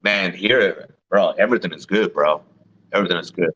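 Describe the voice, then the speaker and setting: Low-voiced guy who grew up in mexico, rambling stories, dull lo-fi sound. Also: a little fast, a bit bright. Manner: storytelling, repeats words, laughs often. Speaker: guest. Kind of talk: casual chat.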